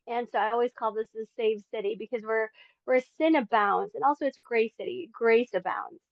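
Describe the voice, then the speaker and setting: A woman's voice: fairly high pitch, fairly quick, vocal fry, no room sound, lo-fi sound. A woman in her forties from the Philippines, sharing her faith testimony as a podcast guest in earnest, chatty talk. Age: 40s. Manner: earnest, chatty, many fillers. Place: home in Las Vegas.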